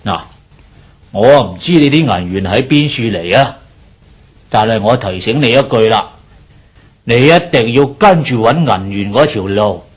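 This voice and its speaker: Nagging older creditor, Hong Kong Cantonese. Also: male voice, fairly low pitch, animated, measured pace, long pauses. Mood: nagging, warning. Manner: lecturing, sighing, presses his point. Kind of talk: Cantonese radio drama acting.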